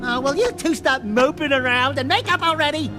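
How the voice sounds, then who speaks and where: An actor voicing a cocky, vain, wisecracking little sidekick creature in an animated video game, boastful and dramatic. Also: sits high, fairly quick, loud music behind the voice, close to an everyday voice. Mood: indignant, bantering.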